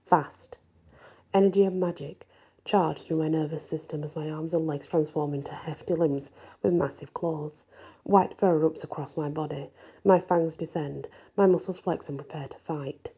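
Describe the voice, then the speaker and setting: A woman narrating a first-person fantasy story in an expressive, deliberate storytelling style. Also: deep voice, slightly rough. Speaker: story reader.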